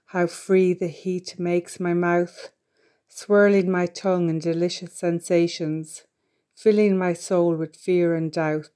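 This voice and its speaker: A woman, a poet, introducing herself and then reciting her own poem in a slow, deliberate way. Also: fairly low pitch, mellow. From Ireland. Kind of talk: poetry reading.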